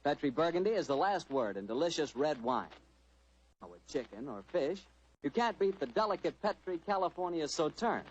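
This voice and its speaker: A man's voice: on the high side, breathy edge, dull audio. An American man working as a radio announcer, delivering folksy, emphatic 1940s-style sponsor patter.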